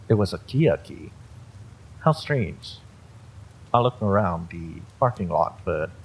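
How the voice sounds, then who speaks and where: Low, gravelly male storyteller. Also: slight background noise, low-quality recording. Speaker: storyteller, narrator. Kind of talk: scripted first-person horror story narration.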